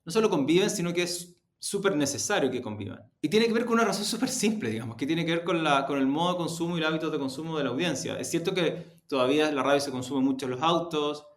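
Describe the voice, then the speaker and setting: A man's voice: fairly high pitch, quick pace, few pauses. A man with a Chilean accent giving explanatory, conversational interview answers, emphatic and often rephrasing himself as he goes. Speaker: media executive being interviewed. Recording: roomy, echoing sound.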